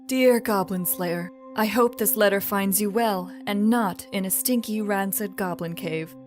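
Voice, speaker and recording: A woman voicing a prim, lovesick priestess reading out her own comic letter in a measured, formal way, with blunt jabs. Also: mid-range voice, faint music behind, natural voice. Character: formal, lovesick priestess writing a letter, turning foul-mouthed.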